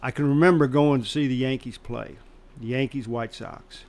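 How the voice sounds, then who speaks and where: An older man from Chicago telling baseball stories in casual conversation, animated and laughing as he goes. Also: mid-range voice, normal speed. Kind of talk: casual storytelling.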